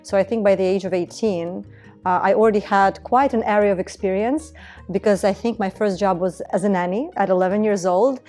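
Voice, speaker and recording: A woman's voice: medium pitch, fairly quick, mellow, soft background music. A woman, speaking earnestly and reflectively as a business founder in a documentary interview. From Estonia. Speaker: entrepreneur, beauty business founder.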